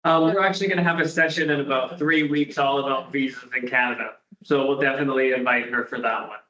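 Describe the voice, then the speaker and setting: A man organizing the sessions who speaks briskly and reassuringly. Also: mid-range voice, fairly even intonation, pushed and bright, roomy sound, highs cut off. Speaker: event organizer. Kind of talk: casual panel talk.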